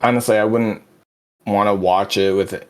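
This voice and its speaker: Laid-back, halting male co-host. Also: lowish voice, steady tone. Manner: laid-back, halting, many fillers and restarts. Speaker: podcast co-host. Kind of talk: casual chat.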